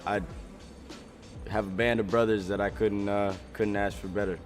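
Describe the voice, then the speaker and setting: A man's voice: fairly low pitch, flat delivery, normal speed. Upbeat young man, faint music under. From Delaware. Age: young adult. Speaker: college freshman athlete. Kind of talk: recorded player interview. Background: music bed.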